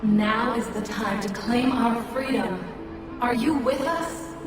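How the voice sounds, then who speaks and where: A woman's voice: fairly high pitch, takes it slowly. Heavily echoing, solemn rebel woman. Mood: solemn, rallying. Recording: very echoey, distant, loud music.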